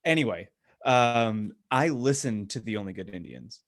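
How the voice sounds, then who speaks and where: A man co-hosting a podcast, giving opinions in casual, blunt and somewhat halting conversational speech. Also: fairly low pitch, animated, no echo, clean studio sound. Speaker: podcast co-host.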